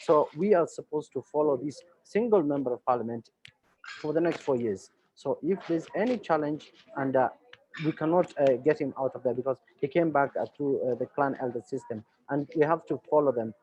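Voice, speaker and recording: A Somali journalist speaking in a webinar panel, earnest and hesitant, with many fillers. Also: male voice, a little high, fairly quick, darkish tone, slight background noise. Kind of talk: panel discussion remarks. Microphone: video call. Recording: dull lo-fi video call audio.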